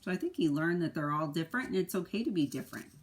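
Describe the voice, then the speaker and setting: Lower-voiced woman explaining a picture book. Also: lively intonation, fast, slight background noise. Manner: simple, explanatory asides. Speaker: school librarian reading aloud. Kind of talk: casual commentary on a picture book for children.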